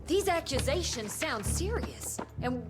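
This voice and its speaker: An actress playing a cautious, deferential aide in a video game, polite and tentative. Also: female voice, high-pitched, animated, measured pace, loud music behind the voice. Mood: tentative, placating. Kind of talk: video game cutscene acting.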